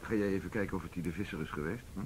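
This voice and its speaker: Man as deep, quick-talking police detective. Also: natural voice. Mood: businesslike. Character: brisk, businesslike male police detective. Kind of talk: Dutch radio drama acting. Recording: noisy old radio drama recording, rumble.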